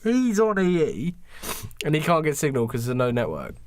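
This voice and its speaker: A British man chatting casually with co-hosts on a comedy podcast. His pitch swings widely as he pushes his points with blunt, argumentative emphasis. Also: wildly swooping pitch, moderate pace, dry recording. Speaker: podcast co-host. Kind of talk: casual comic chat.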